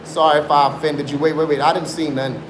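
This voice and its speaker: A man's voice: on the high side, flat delivery, quick pace. A man around forty, raised in South Africa, chatting insistently with viewers on a live stream and swearing to his story. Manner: insistent, swears oaths, mixes in Afrikaans. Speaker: livestreamer. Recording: roomy sound with background noise.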